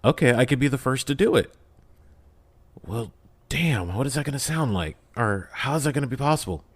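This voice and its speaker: A man's voice: medium pitch, lively intonation, fairly quick. A man speaking as a podcast guest, casual and motivational, often posing questions and answering them himself. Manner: emphatic, poses and answers own questions. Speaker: podcast guest, audio drama creator. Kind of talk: casual, motivational interview talk.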